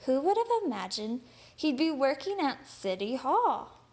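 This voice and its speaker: A woman voicing a caring female schoolteacher from a picture book, animated, emphatic and encouraging. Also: high voice, unhurried, full character voice. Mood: amused, animated. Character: caring woman schoolteacher who fondly recalls past pupils.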